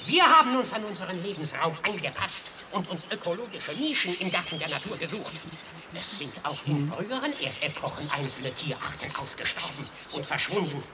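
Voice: mid-range voice, expressive, a little fast, lightly acted. A performer in a radio play voicing a grave animal prosecutor who accuses mankind in solemn, declamatory oratory. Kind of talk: radio play acting, formal courtroom speech. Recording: distant, noisy radio play sound.

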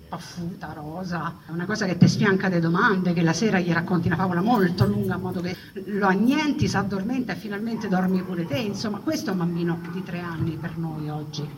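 A woman tells a story as a stage monologue. Her voice sits at a medium pitch, and she speaks conversationally, stacking emphatic phrases with dramatic pauses. She is picked up from a distance, with clear ambient noise around her. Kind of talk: theatrical narrative monologue to an audience. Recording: distant mic, noisy live ambience.

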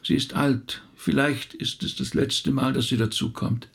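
A man playing a calm, thoughtful pastor in a radio drama, husky and speaking in a considered, patient way. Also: medium pitch, measured pace. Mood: composed, pointed. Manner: considered, patient, slightly indignant. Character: calm, thoughtful male pastor being questioned. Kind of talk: German radio drama acting.

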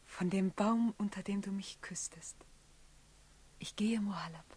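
A woman's voice: mid-range voice, measured pace, mellow, breathy edge. An actress playing a devoted woman in a classic German radio play, with literary, poetic diction. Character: Shirin, devoted woman betrayed by her runaway lover.

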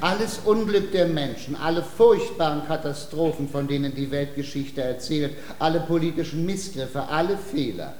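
An actor playing an earnest, high-minded dancing master with airs, speaking in a pompous, florid way in a German radio comedy. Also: male voice, moderate pace, hardly any pauses, darkish tone, reverberant, slight background noise, natural voice. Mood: grandiose. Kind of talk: German radio play, theatrical comedy acting.

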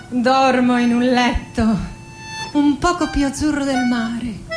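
A woman acting a melancholy middle-aged poet in a theatrical monologue, speaking in a hushed, dramatic way that often slips toward whispering. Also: sits high. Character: melancholy 46-year-old woman poet bidding farewell to life. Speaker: actress and director. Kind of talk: theatrical poetic monologue with music. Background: music.

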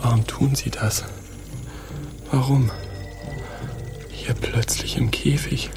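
An actor playing an exasperated, musing maestro in a radio play, with a breathy, half-whispered delivery. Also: male voice, mid-range voice, steady tone, takes it slowly, music in the background. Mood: pleading. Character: musing, exasperated gentleman maestro, pleading and reproachful.

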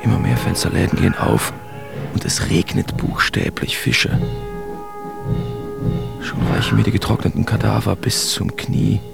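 A haunted, wry artist telling his absurd dream for a radio drama. His voice sits on the low side with a clearly creaky grain. The storytelling is expressive and theatrical, with music running behind it. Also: moderate pace, long pauses. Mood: disgusted wonder. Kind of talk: radio drama first-person narration.

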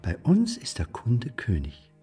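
An actor playing an obliging, businesslike funeral director with a formal, salesmanlike manner in a radio drama. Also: male voice, medium pitch, huge pitch swings, unhurried, mellow, slightly rough, recorded from a distance, faint music behind, heavily acted.

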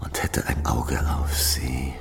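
Whispering, gravel-deep revolutionary schemer. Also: male voice, level tone, over music, heavily acted. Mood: conspiratorial, musing. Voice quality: gravelly, breathy half-whisper. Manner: slow, halting, drawn-out phrases. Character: scheming, sardonic male revolutionary agitator. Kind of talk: radio drama acting.

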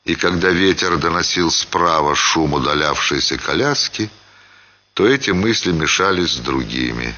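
A man reading a literary story as a dramatic narrator, measured, pausing phrase by phrase. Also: very deep voice, lively intonation, pushed and bright, muffled recording. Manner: measured, with deliberate phrase-by-phrase pauses.

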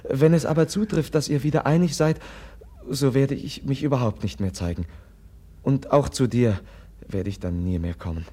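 An actor playing a gentle, compassionate young Russian nobleman in a German radio drama, earnest, halting and soft-spoken, with a husky voice. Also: mid-range voice, close to an everyday voice. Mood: pleading, sincere.

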